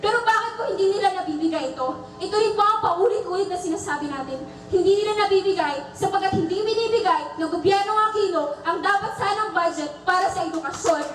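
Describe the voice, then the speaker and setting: A young woman with a Filipino accent addresses a protest in an impassioned student speech. Her voice is extremely high, heard echoing from some distance from the microphone. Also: fast, few pauses, slight background noise. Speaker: student council protest speaker. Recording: echoey, far from microphone.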